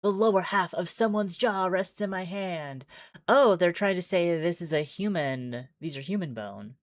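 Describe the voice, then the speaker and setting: Female game streamer, low register, chatty reader who thinks aloud; slightly roomy sound, faint music underneath. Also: lively intonation, normal speed, studio quality. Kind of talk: game playthrough commentary, reading aloud.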